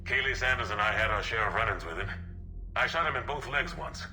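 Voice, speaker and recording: An actor playing a weary, dogged older military officer in a video game, grim and deliberate in delivery. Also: male voice, mid-range voice, lively intonation, pushed and bright, soft background music, rough recording. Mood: grim, wary. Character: weary, battle-hardened older male resistance officer, dogged.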